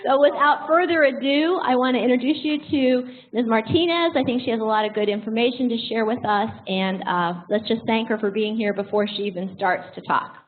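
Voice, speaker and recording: High-voiced American woman on tinny audio. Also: a little fast, hardly any pauses. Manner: jokey, self-deprecating. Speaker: host introducing a guest speaker. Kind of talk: informal spoken introduction to a live audience. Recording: thin phone-like sound with faint noise.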